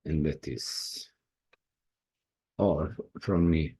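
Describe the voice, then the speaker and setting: Croatian guy talking in english, slow and halting, kinda husky. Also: rumbling low, dark tone. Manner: halting, non-native phrasing with restarts. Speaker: indie author. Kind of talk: personal talk to camera.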